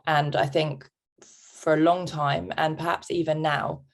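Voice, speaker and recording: Brief: woman, low gravelly voice, thoughtful webinar presenter. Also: flat delivery. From England. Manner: reflective, measured, frequent "um". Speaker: guest speaker, childminder.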